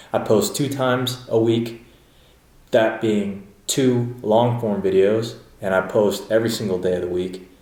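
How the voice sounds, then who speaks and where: A man's voice: on the low side, a little mellow. A man in his twenties chatting casually in a rambling, loose vlog style, full of fillers and restarts. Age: 20s. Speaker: vlogger training for a triathlon. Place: in a car while driving home, later at home. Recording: car and road noise behind the voice.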